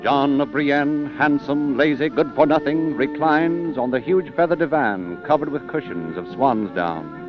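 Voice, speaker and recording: A man narrating dramatic old-time radio stories in a theatrical, measured announcer manner. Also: mid-range voice. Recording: muffled old radio recording with music.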